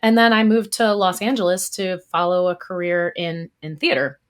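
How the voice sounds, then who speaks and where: A woman from the US East Coast, a guest in a podcast interview, telling her story in a lively, expressive way. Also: on the low side, normal speed. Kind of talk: casual interview talk.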